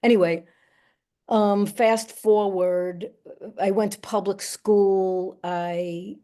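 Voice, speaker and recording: An older woman from the United States whose first language is Yiddish, answering in a reflective Q&A with halting, thoughtful phrasing and frequent restarts. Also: medium pitch, fairly even intonation, warm tone, clean tone. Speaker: actress and guest.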